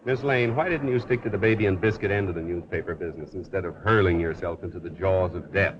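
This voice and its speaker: A man's voice: deep voice, fast, lightly acted. A man playing a suave, flippant amateur sleuth and newspaper columnist, bantering wryly in 1930s film style. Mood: wry, teasing. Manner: wry, bantering. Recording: dull, noisy 1930s film soundtrack.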